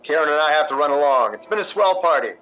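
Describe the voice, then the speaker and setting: Man acting affable playwright, lively highish voice, uneasy, placating, old-time radio play. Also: quick pace, faint music behind, rough recording. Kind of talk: 1950s radio drama acting.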